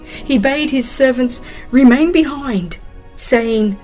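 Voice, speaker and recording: Female narrator for dramatized religious audiobook: slow, solemn, expressive delivery with dramatic pauses, soft music behind. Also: sits high.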